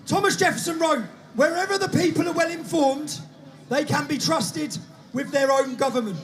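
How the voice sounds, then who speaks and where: Very high-voiced man emceeing a rally. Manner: brisk, shouting announcements. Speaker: rally compere. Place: outdoor street rally.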